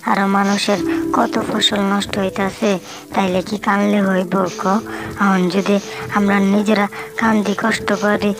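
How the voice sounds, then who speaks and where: Village mother for cartoon, female actor, rural Bengali speech, reassuring and questioning, clear music bed. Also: medium pitch, close to an everyday voice, poor audio. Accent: Bengali rural dialect. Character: practical, comforting village mother, farmer's wife. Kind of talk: cartoon voice acting. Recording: music under the voice.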